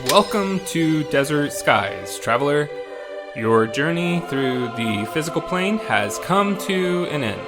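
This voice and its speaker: A male actor playing a formal, deadpan otherworldly gas-station attendant who guides dead travelers, in a comic audio drama. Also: fairly high pitch, slow, heavily acted. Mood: welcoming, formal. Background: music.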